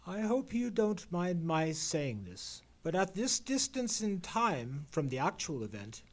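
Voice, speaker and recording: A man playing a shrewd gentleman detective in a live radio play, speaking formally and precisely as he questions. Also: high voice, big pitch swings, unhurried, full character voice. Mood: keen, probing. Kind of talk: live old-time radio play acting.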